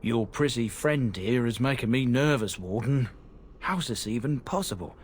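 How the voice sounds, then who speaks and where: A man plays a chatty merchant guide in a fantasy game. His mid-range voice is very animated and informal, with an uneasy edge, over faint background noise. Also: medium pitch, normal speed, close to an everyday voice. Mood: nervous. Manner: garrulous, informal. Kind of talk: fantasy video game dialogue.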